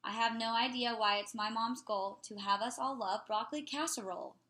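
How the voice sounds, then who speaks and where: A woman reading a children's picture book aloud in an expressive, playful way. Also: on the high side, moderate pace.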